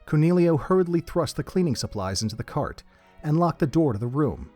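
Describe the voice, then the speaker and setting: A man narrating an audio drama in an expressive storybook manner. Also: on the high side, a little fast. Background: faint music.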